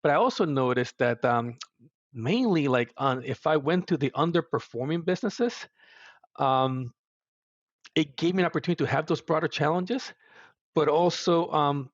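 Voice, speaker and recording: A man's voice: on the high side, normal speed, no echo, muffled recording. Middle-aged man with a Puerto Rican accent. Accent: Puerto Rican Spanish. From Puerto Rico. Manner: earnest, reflective, with frequent restarts. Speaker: guest, service executive. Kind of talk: podcast interview.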